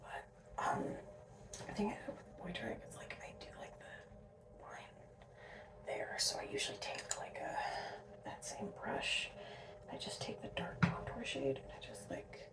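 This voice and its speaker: A woman's voice: lowish voice, expressive, a little slow, long pauses. Whispering sleep-deprived woman, roomy. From Alberta, Canada. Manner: soft whisper, rambling with frequent asides and laughs. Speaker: drag makeup vlogger. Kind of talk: casual whispered makeup tutorial. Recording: roomy, mic set at a distance.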